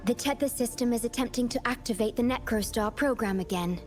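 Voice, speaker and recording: A woman's voice: on the high side, fairly quick, a little mellow, faint music behind, close to an everyday voice. A woman playing a composed, devoted guide in a video game, speaking formally and carefully. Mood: grave, composed. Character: composed, devoted woman guiding a companion on a mission.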